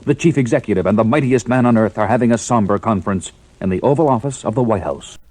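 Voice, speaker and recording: An actor serving as a portentous, emphatic narrator of a scripted superhero audio drama. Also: male voice, on the low side, fast talker, a little mellow, no echo, lightly acted.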